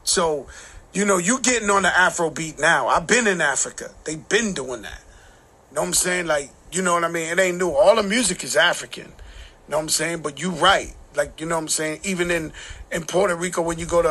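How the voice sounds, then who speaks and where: A man's voice: fairly high pitch, fairly quick, sharp tone. A man in a played clip, speaking emphatically and often repeating "know what I'm saying" as he makes his points. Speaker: interviewed guest in a clip. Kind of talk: emphatic opinion monologue.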